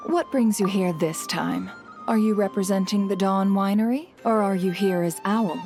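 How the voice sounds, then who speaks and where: A woman playing a composed, diplomatic guild leader in a video game, measured and courteous in a formal way. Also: medium pitch, a little mellow, lightly acted. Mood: poised, diplomatic. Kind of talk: video game dialogue acting. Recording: clear music behind.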